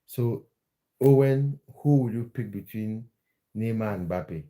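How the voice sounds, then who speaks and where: A man's voice: on the low side, takes it slowly, warm tone. A man from Cameroon hosting a casual football livestream, chatting with viewers and often restarting his words. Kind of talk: casual live chat with viewers.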